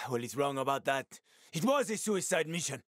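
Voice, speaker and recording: A man performs a hardened male video game survivor with a medium-pitched voice. He sounds worn by the world, sardonic and streetwise, with menace never far off. The delivery is dramatic and the sound is close and dry, free of room. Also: big pitch swings, normal speed, dry recording, natural voice. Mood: weary, regretful. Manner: gritty, sardonic, foul-mouthed.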